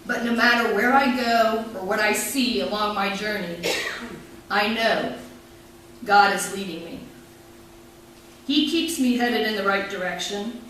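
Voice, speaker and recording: A middle-aged woman pastor giving a sermon, telling her story in a measured, reflective way and reading scripture. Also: medium pitch, a bit bright. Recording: very echoey room, from far off, faint noise.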